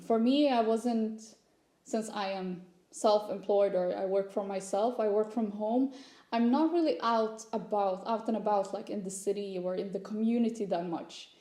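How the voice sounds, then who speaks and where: A young woman from Sweden speaks English, in a relaxed, mellow voice of medium pitch. She tells personal stories in a chatty, candid vlog style. The room adds a noticeable echo around her words. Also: a little slow. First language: Swedish. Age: young adult. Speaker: content creator. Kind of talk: casual personal vlog chat. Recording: roomy echo.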